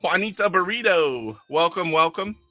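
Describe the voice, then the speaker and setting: A middle-aged man chats loosely on a live game stream, rambling, chuckling, and reading viewer comments aloud. His voice is very bright and somewhat high, recorded dry and close, with faint game music underneath. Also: lively intonation, moderate pace. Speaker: retro game streamer host. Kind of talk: casual live-stream chat with gameplay. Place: attic converted to a game room.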